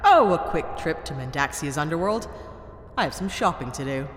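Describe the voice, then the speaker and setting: Wry space rogue, lively intonation. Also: female voice, sits low, normal speed, breathy edge. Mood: breezy. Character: wry, guarded female space criminal and ex-detective. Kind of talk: science fiction audio drama acting.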